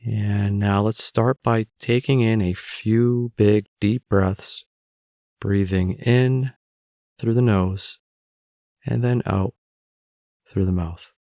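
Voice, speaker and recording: Male meditation guide, lowish pitch, very slow calm delivery with long pauses, dry close-mic sound. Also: no echo.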